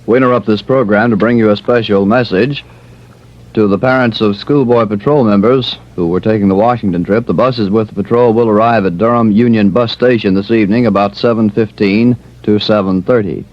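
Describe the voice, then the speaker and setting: Male announcer, lowish voice, formal bulletin read, dull vintage radio sound. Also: steady tone, a little fast. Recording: muffled old radio recording.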